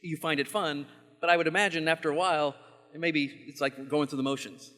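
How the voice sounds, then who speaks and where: Guy hosting on stage, talks fast, bright voice, rambly questions, echoey hall. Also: a little high, fairly even intonation. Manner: rambling, self-deprecating questions. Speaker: host and moderator. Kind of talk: live stage interview. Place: stage at a live event hall. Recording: long hall reverb.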